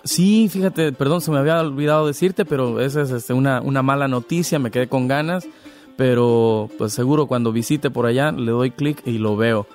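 A man's voice: medium pitch, moderate pace, darkish tone, soft background music. A man from Veracruz hosting a podcast interview, speaking casually in a friendly, congratulatory way. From Veracruz, Mexico. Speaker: podcast host.

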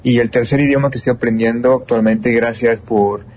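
A man's voice: mid-range voice, fairly even intonation, brisk, darkish tone, a little hiss or hum. A young man with a Mexican accent, an engineering student answering interview questions earnestly, with many restarts and fillers. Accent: Mexican Spanish. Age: young adult.

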